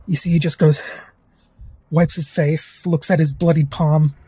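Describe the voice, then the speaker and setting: A husky-voiced player describing their character in a tabletop game, close and dry. Faint music plays behind. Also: medium pitch, level tone, a little slow, mellow, dry recording.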